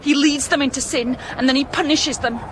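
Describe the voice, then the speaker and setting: A woman's voice: high-pitched, steady tone, a little fast, slight background noise, lightly acted. An actress playing a fearful, superstitious village woman, urgent and insistent, in a radio horror drama. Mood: fearful, dread.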